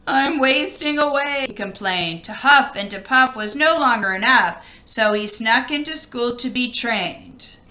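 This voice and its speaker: A woman reading a children's storybook aloud like a teacher, expressive and sing-song on the rhymes. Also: on the high side, a little slow, hardly any pauses.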